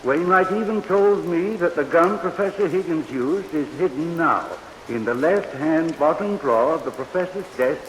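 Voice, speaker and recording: An actor playing a gentle, eerie old morgue attendant who talks with the dead, earnest and folksy, in a vintage radio drama. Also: male voice, on the high side, normal speed, few pauses, lightly acted, rough recording. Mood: urgent warning. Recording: vintage radio recording with rain hiss. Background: steady rain.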